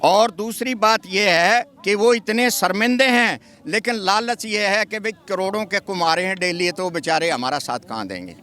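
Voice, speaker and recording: A man's voice: high voice, moderate pace, few pauses, pushed and bright. An older man from Haryana, a protesting farmer, arguing his points emphatically. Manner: emphatic, argumentative.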